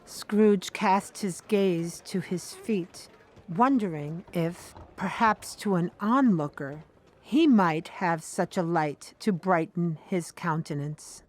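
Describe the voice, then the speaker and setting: Slow, deliberate woman storyteller. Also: lowish voice, darkish tone. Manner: deliberate, measured. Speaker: narrator. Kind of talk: scripted storybook narration for audio drama.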